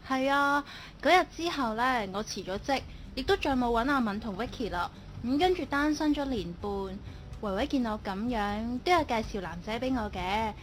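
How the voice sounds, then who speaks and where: A woman's voice: a little high, expressive, normal speed, slight background noise, natural voice. An actress playing a timid, lovelorn young female office worker in a radio drama, speaking hesitantly. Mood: shy, relaxed. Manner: hesitant, stammering when upset. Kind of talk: Cantonese online radio drama acting.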